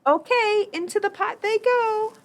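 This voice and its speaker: Woman voicing an eager, high-pitched villager puppet. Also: measured pace. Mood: eager, helpful. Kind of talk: children's puppet show acting.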